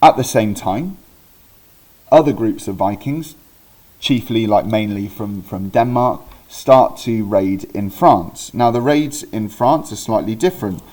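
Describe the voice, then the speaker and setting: A man's voice: on the low side, measured pace, darkish tone, rough recording. A man from Wales, teaching history in a casual way, with many ums and restarts and the odd correction of himself. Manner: chatty, self-correcting, with frequent ums and restarts. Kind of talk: informal recorded history lesson.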